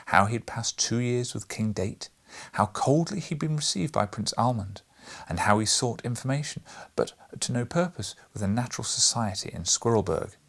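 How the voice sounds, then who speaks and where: A man reading a storybook in scripted narration, expressive and theatrical, with a husky, gravelly voice. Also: lowish voice. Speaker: audiobook reader.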